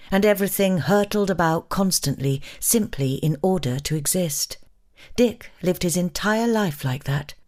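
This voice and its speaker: An actress as a low-voiced lovestruck woman narrator. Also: darkish tone, natural voice. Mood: wistful, longing. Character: lovestruck, brazen married woman narrating forbidden romance. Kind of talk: dramatic first-person audiobook-style reading.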